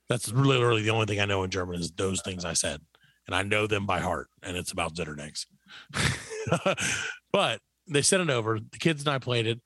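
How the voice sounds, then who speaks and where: A man's voice: fairly low pitch, fairly bright tone, dry recording. A middle-aged man hosting a show with casual comedic banter, rambling and mock-boastful. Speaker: show host.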